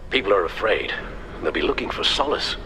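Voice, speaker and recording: An actor playing a loyal, worried butler and confidant in a video game, speaking formally and solemnly with careful wording. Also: male voice, low voice, lively intonation, a little fast, bright, forceful tone, slight background noise, heavily acted. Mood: concerned. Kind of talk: dramatic video game acting.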